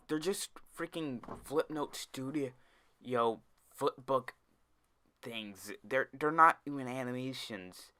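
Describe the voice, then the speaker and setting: A man's voice: fairly low pitch, sharp tone, smooth, no room sound. A young man ranting in an online commentary video, exasperated and often tripping over and restarting his words, close to a dry mic. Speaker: YouTube commentator.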